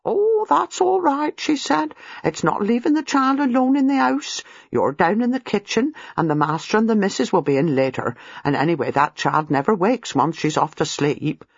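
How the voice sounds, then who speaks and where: A man voicing a brash, common young housemaid, an excitable gossip who talks in blunt, scornful slang in an audiobook. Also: high-pitched, moderate pace, vocal fry, few pauses, slightly rough, no room sound, muffled recording, voice pushed up, heavily acted. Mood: breezy, dismissive. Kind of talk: audiobook character dialogue.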